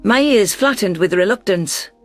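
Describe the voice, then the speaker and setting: A performer voicing an earnest, wary deposed alien governor who narrates in a measured, formal, reflective way. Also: medium pitch, lively intonation, soft background music, pitched below the performer's own voice, close to an everyday voice. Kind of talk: scripted first-person audio story narration.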